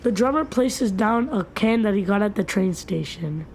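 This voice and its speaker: A man's voice: sits high, animated, moderate pace, noisy background. A young man casually narrating a homemade audio story.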